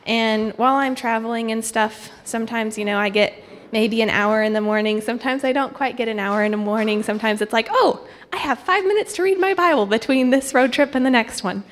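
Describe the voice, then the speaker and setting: A woman's voice: fairly high pitch, fairly quick, hardly any pauses. Young woman in a reverberant church hall. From Thailand. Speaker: guest speaker, missionary candidate. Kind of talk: informal church testimony and devotional. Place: church hall, speaking to congregation. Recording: echoey hall with long reverb.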